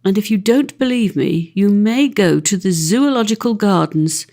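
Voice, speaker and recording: A woman's voice: mid-range voice, animated, normal speed, warm tone. A woman reading a classic children's story as an audiobook narrator.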